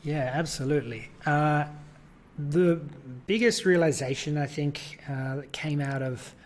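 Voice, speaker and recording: A man's voice: a little high, a little slow. A man with an Australian accent, a guest in an interview, explaining his business at length with frequent fillers and light laughs. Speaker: guest, virtual events business owner. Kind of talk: conversational interview.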